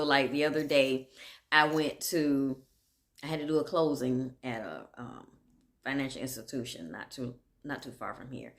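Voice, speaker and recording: Low-voiced chatty woman with drawl. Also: fairly bright tone. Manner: chatty and candid, laughs often, drifts off track. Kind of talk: casual monologue.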